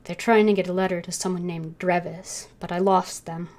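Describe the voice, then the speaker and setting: A woman's voice: on the low side, fairly even intonation, normal speed, a little mellow. Woman muttering through a game stream. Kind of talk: casual live gameplay commentary. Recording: faint keyboard noise behind.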